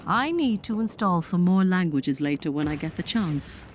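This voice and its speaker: A woman's voice: lowish voice, animated, moderate pace, a little hiss or hum, close to an everyday voice. Imperious alien general, played by a woman. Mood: businesslike, confident. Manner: clipped commanding, drily sarcastic. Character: commanding female alien general, imperious and impatient. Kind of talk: scripted sci-fi audio drama acting.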